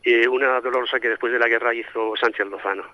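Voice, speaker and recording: A man's voice: mid-range voice, steady tone, quick pace, no room sound. A middle-aged man from Spain with a Spanish accent, a priest answering interview questions reflectively, often hesitating and repeating as he searches for words. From Spain, raised in Madrid, born in Santomera, Murcia. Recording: dull, lo-fi phone-like link.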